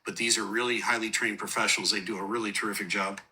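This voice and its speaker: A man's voice: on the low side, fairly even intonation, fast talker. A man explaining recorded air traffic communications as an analyst, earnest, somber and plain-spoken. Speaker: host, aviation analyst explaining air traffic control audio.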